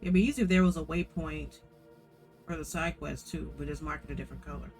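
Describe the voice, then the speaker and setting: A man's voice: fairly high pitch, fairly even intonation, fast, gravelly, darkish tone, soft background music. A man giving casual gameplay commentary as he plays. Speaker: gamer playing on camera.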